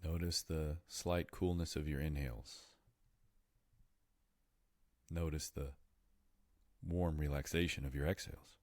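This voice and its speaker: Meditation guide, male: very deep and mellow, soothing and deliberate in delivery, unhurried pauses between phrases. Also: steady tone, no room sound.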